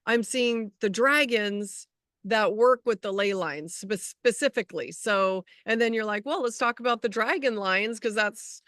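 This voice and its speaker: A middle-aged woman co-hosting a casual spiritual chat as an energy healer, talking in a rambling, halting way as she searches for words. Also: a little high, fairly bright tone, no echo, studio quality.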